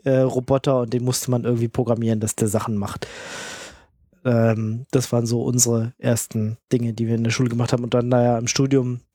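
German guy chatting on a podcast, flat monotone, lots of ums, dry close sound. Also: medium pitch, no room sound. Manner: hesitant, many fillers. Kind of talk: casual chat.

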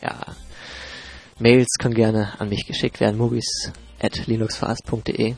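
Husky-voiced German man with a cold. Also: fairly low pitch, level tone, measured pace, a little mellow, faint music behind. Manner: chatty, jokey, loose fillers. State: stuffy cold. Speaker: podcast host. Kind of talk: casual podcast presenting. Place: home in Hamburg.

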